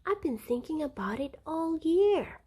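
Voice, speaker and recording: Storybook mother elephant, acted by a female reader: harried and firm, high, animated, scolding delivery. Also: a little slow. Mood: assured. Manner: emphatic, scolding.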